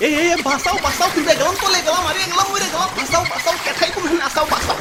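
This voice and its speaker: Flood victim: frantic, impatient, high rapid cartoon pleading. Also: male voice, very noisy. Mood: panicked. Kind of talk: comic cartoon acting.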